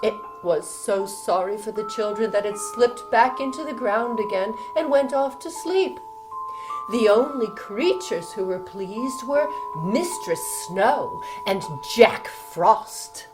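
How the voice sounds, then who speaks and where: A woman narrating a children's story in an expressive, theatrical style, inviting listeners along. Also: a little high, slow pace, music in the background, poor audio.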